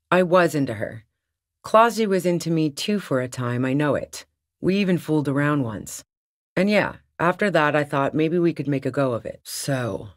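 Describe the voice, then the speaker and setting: A woman's voice: low-pitched, no room sound. A woman playing a tough, sardonic female lorry-driving smuggler in a video game, with a dry, sarcastic and casually profane way of talking. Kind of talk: video game character dialogue.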